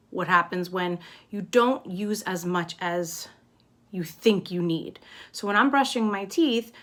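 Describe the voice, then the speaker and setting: A woman's voice: mid-range voice, fairly bright tone. A woman kindergarten teacher giving a simple lesson and picture-book read-aloud, explaining step by step and checking with questions.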